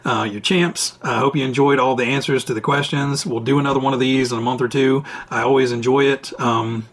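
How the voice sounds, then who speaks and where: This is a man with a tired, raspy voice, talking to viewers in a relaxed, rambling way. He keeps stopping and restarting his thoughts. It sounds like a home vlog recorded on a camera mic. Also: mid-range voice, brisk, slight background noise. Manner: rambling, chatty, self-interrupting. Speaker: RC hobby channel host. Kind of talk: casual Q&A vlog talk. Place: at home, indoors.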